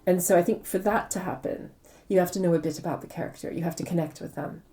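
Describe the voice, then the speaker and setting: A woman's voice: on the low side, fairly even intonation, fast talker, mellow. A woman speaking conversationally as an interviewed author, explaining things reflectively and repeating words for emphasis. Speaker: interviewed novelist.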